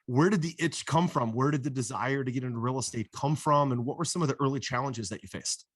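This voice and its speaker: A man's voice: mid-range voice, fast talker, no room sound, studio quality. A man hosting a podcast interview, upbeat and affirming, asking long multi-part questions. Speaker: podcast host. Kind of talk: casual interview chat.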